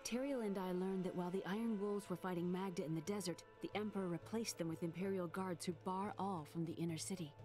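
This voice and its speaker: Woman as earnest young adventurer girl, lowish voice, determined, fantasy game, music behind. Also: steady tone, quick pace, hardly any pauses, dark tone, natural voice. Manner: earnest, explanatory. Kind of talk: fantasy video game voice acting.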